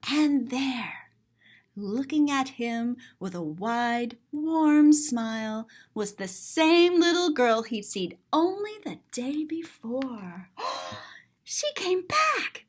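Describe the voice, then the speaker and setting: A woman storyteller with a high, very lively voice reads a picture book to young children. She is expressive and gives simple explanations as she goes, taking her time. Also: slow pace. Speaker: storyteller, author and teacher. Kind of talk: children's read-aloud with explanatory asides.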